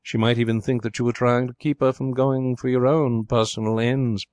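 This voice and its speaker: A man voicing a scheming storybook butler, formally polite and unctuous, with drawn-out deference. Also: mid-range voice, little pitch movement, a little mellow, clear, resonant tone, no room sound, pitched above the performer's own voice, lightly acted. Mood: smooth insinuation.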